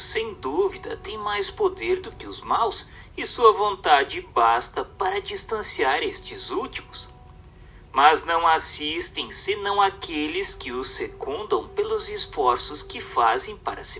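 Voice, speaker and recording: A man with a Brazilian accent reads a religious book as scripted narration, in measured, declamatory phrasing. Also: fairly high pitch, sing-song, bright, forceful tone, recorded from a distance, soft background music.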